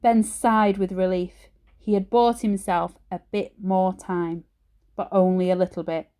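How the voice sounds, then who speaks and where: A woman teacher reading a children's story aloud in an expressive storytelling style. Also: medium pitch, a little slow, clear, resonant tone.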